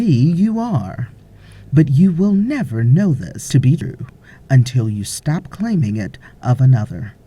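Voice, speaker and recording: A woman reading a spiritual audiobook as scripted narration, in a measured, deliberate way with frequent pauses. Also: rumbling low, dark tone, breathy edge.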